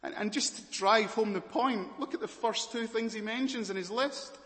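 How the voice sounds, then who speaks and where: A man with a Scottish accent preaching a sermon, moving between hushed and loud emphatic passages. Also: sits high, normal speed, big-room sound. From Malawi, raised as Scottish.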